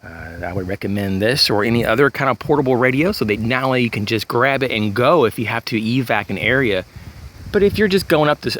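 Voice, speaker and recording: A man giving an informal, unscripted gear demonstration in a halting, rambling way, like a hobbyist vlogger. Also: medium pitch, fairly bright tone. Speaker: prepper vlogger presenting gear. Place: outdoors, in breezy weather. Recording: clear background noise, outdoor ambience.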